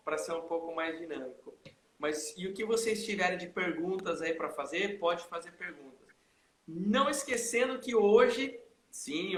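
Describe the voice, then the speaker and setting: Bright-voiced lively male host. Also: high-pitched, roomy sound, slight background noise. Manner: lively, greets viewers by name. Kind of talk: live video chat, switching to halting English.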